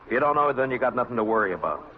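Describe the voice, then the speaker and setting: An actor playing a tough, weary frontier marshal in a 1950s western radio drama, speaking in a terse, deadpan way. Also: male voice, medium pitch, very fast, a crowd in the background, lightly acted. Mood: cold, steely. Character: tough, terse frontier lawman, adult man, steely and weary. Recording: dull old radio recording with music.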